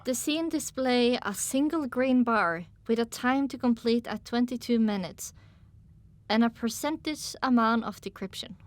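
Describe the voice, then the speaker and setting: Woman narrator, slightly high pitch, dramatic first-person storytelling, audiobook delivery. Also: a little slow, no echo.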